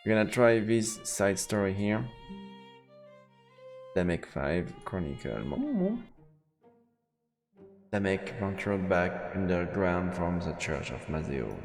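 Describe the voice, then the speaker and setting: A man's voice: sits low, animated, slow, long pauses, darkish tone. A man streaming a live let's play and reading the game's narration aloud over music. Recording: roomy, echoing mic over game music.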